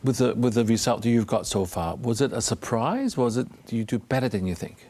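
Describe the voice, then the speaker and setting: A man's voice: medium pitch, animated, fairly quick, warm tone. A man hosting a television talk show, presenting and putting questions to guests in a studio interview.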